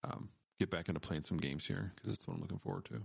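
A man's voice: sits low, fast, vocal fry, darkish tone, husky, no echo, studio quality. A man co-hosting a podcast in casual chat, laid-back and rambling, swearing freely. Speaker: podcast co-host.

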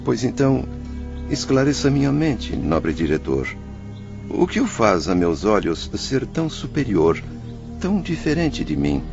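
A man's voice: mid-range voice, very animated, a little slow, vocal fry, music in the background. An actor playing a confused, pleading male spirit, an anguished father, in a religious radio drama, speaking deferential, emotional Brazilian Portuguese on a dull recording. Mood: incredulous, awed.

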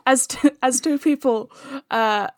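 A woman's voice: high-pitched, slow pace, no room sound. Woman chatting casually, close dry sound. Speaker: podcast guest, audio fiction writer and editor. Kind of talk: casual interview chat.

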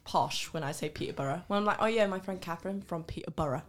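A young Northern English woman from Yorkshire hosting a relaxed podcast among friends. Her voice sits fairly low for a woman, and she talks in a chatty, teasing way with quick asides. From Yorkshire, England. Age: young adult. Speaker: podcast host. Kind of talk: casual chat.

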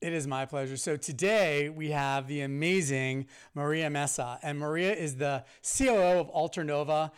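A man's voice: a little high, normal speed, hardly any pauses, smooth. A man co-hosting a podcast, introducing a guest with enthusiasm. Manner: enthusiastic, repeats "amazing". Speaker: podcast co-host. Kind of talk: casual podcast chat.

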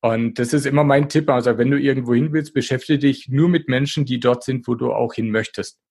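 A man's voice: medium pitch, steady tone, brisk, darkish tone, husky. A man talking casually as a podcast guest, in a blunt, colloquial, motivational way. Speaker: podcast guest, diabetes expert. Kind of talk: casual conversational interview talk.

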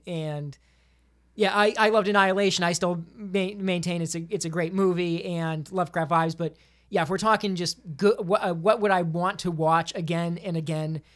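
A woman on a podcast panel, talking casually and giving her opinions at length. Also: on the low side.

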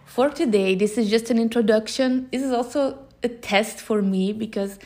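A woman's voice: fairly high pitch, moderate pace, warm tone. A woman in her thirties from Belgium, a native Dutch speaker with a Belgian Dutch accent, giving an informal, unscripted and earnest podcast introduction. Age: 30s. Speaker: podcast host. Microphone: phone recording.

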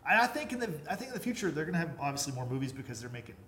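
Fast-talking man, casual podcast host. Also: on the high side, sing-song, fairly bright tone, a little hiss or hum. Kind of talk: casual film chat.

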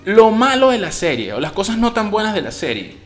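A man's voice: sits high, brisk, faint music behind. A man from Venezuela with a Venezuelan Spanish accent, giving a casual, slangy spoken review of a TV series. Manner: slangy, expressive, swears freely.

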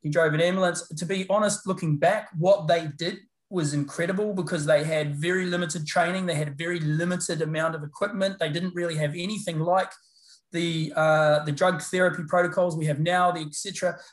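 A middle-aged man with a New Zealand accent, chatting as an interview guest in a relaxed, rambling way full of fillers and restarts. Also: on the high side, fairly quick. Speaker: guest, paramedicine lecturer. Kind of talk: casual interview chat. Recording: roomy echo.

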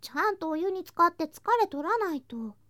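A woman playing an affectionate, playful younger girl cousin in an intimate ASMR voice drama, speaking softly and coaxingly and slipping into whispers. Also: very high voice, animated, fairly quick, no room sound, studio quality. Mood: caring, affectionate. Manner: soft, sweet, coaxing, drifting into whispers and singsong. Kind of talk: ASMR situation voice drama, intimate roleplay to listener.

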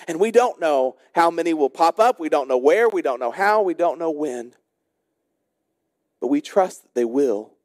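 American man, high-pitched voice, pastor, sermon delivery, deliberate repeated phrasing, dry sound. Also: darkish tone, no echo. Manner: deliberate, rhetorical repetition in short phrases. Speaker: senior pastor.

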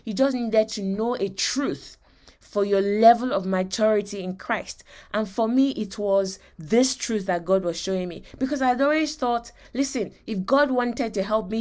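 A woman's voice: medium pitch, normal speed, a little mellow. Emphatic Nigerian woman teaching on faith. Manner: emphatic, repeats phrases and checks in with listeners. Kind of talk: motivational faith teaching with personal testimony.

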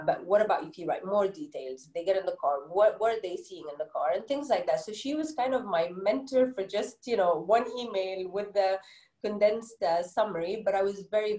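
A woman's voice: medium pitch, mellow, reverberant, poor audio. A middle-aged woman from Egypt with an Egyptian English accent, an author answering interview questions earnestly, with many "you know" fillers. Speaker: children's book author, guest.

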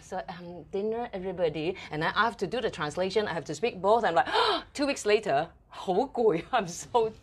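A woman's voice: medium pitch, fairly quick, few pauses, breathy edge. A middle-aged woman from Hong Kong with a Hong Kong accent, a TV and radio host chatting as a guest and acting out little scenes as she tells them. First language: Cantonese. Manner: animated, playful storyteller acting out little scenes. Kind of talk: casual interview chat.

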